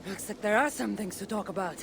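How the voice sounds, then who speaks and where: A woman playing an ancient, wandering Greek warrior in a video game, wry, composed and self-assured, with a Greek accent and measured delivery. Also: medium pitch, gravelly, natural voice. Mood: guarded curiosity. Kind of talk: video game cutscene acting. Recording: game scene with rain and ambient noise.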